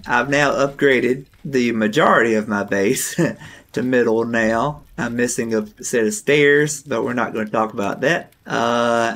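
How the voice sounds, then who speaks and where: A man talks through his survival game session in a loose, rambling way. His voice is hoarse and gravelly from a recent bout of strep throat, sitting at a middling pitch. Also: fairly bright tone. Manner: chatty, rambling, laughs now and then. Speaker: gaming channel host. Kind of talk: casual gameplay commentary.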